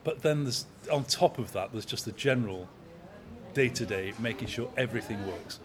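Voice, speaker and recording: A man in his sixties, an opera company manager, talking in a measured, anecdotal way in an interview. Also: mid-range voice, expressive. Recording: crowd chatter in background.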